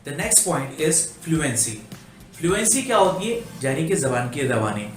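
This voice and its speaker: Guy teaching english, earnest, kinda high voice, faint music behind. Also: big pitch swings, unhurried. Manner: earnest instructive, mixes English phrases into Urdu talk. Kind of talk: spoken English lesson vlog. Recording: echoey room with faint music.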